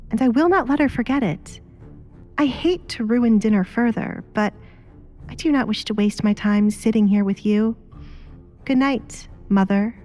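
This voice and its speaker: A woman's voice: medium pitch, darkish tone, voice pushed up, lightly acted. A woman voicing a defiant young noblewoman in an audio drama, coolly composed, sarcastic and pointed. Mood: cool defiance.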